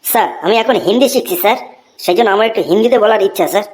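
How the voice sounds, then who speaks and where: High-pitched cheeky schoolboy, played by a woman. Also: racing, darkish tone, close to an everyday voice. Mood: cheeky, matter-of-fact. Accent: Bengali. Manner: pleading, deferential, reciting answers like a pupil. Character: cheeky, earnest schoolboy pupil in comedy cartoon. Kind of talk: comic cartoon voice acting. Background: music.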